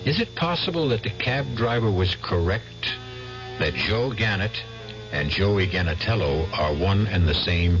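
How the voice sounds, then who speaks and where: A man hosting a radio drama, narrating in a deliberate, suspenseful way with rhetorical questions. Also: low voice. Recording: dull old radio recording. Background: music.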